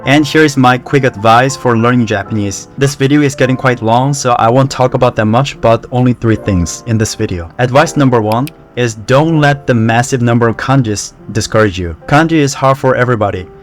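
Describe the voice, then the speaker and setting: A man's voice: mid-range voice, brisk, hardly any pauses. Korean guy vlogging, laid back, "you know" here and there, faint music. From South Korea. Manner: relaxed, conversational, with fillers like "you know" and "like". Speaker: language learning vlogger. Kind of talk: casual talk to camera.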